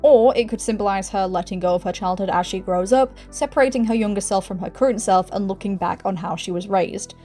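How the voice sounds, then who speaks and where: Woman doing video essay voiceover, chatty and kinda self-deprecating, mid pitch, music under it. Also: brisk, hardly any pauses, dark tone. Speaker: video essayist, channel host. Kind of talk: scripted video essay narration with casual asides.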